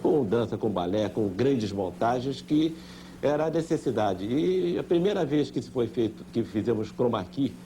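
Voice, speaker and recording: An older man with a Brazilian accent recalling his work as a director, halting and repeating words. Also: medium pitch, expressive, mellow. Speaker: veteran TV director. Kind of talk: interview recollection. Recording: faint noise, old TV tape.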